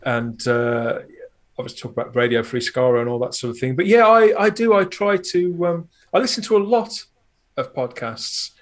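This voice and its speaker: A man's voice: mid-range voice, animated, moderate pace. A man chatting casually as a podcast guest, effusive and rambling as he talks. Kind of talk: casual chat.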